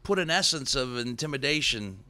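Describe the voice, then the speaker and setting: A man's voice: mid-range voice, animated, a little fast, bright, forceful tone. A man hosting a podcast, chatting casually with a guest and dropping in wry, teasing remarks. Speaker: podcast host.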